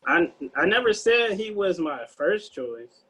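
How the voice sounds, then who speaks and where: A man playing an anxious screenwriter in a table read, halting and hesitant as he speaks. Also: sits high, very animated, bright, forceful tone, husky, full character voice, low-quality recording. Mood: polite unease. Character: anxious screenwriter man pitching his action script to producers.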